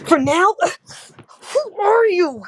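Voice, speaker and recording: A woman's voice: very high-pitched, expressive, slow pace, no room sound, heavily acted. A woman playing a defiant, feisty young sci-fi rebel, combative and emotional, in a screenplay table read. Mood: defiant, shaken.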